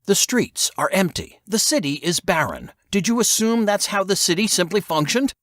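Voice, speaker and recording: A man's voice: sits high, big pitch swings, moderate pace, fairly bright tone, pitched above the performer's own voice. A man voicing an irritable, stuffy, intense intelligence agent in an audiobook, with a sneering, emphatic manner. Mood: rising, intense.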